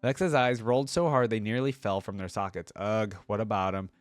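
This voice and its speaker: Low-voiced man, casual and close. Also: fairly even intonation, moderate pace, dry recording. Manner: informal, speculates aloud while reacting. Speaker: reaction video host and reader. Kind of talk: reading a story aloud with casual commentary.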